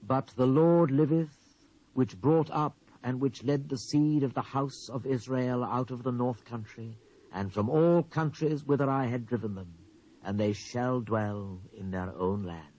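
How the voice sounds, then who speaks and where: A British actor playing a stern, wrathful Hebrew prophet in a dramatised scripture reading, solemn and declamatory, swelling and dropping for emphasis. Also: male voice, medium pitch, measured pace, a little mellow, close to an everyday voice. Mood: solemn proclamation. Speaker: Bible narrator. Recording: dull lo-fi cassette transfer.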